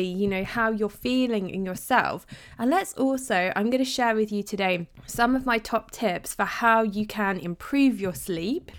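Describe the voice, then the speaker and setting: A woman in her thirties talks straight to her listeners in a relaxed, confiding podcast chat. Her voice is a little bunged up from a cold, and her speech is loose and full of fillers. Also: medium pitch. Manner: chatty, confiding, with many fillers. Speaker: podcast host. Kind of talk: casual podcast monologue.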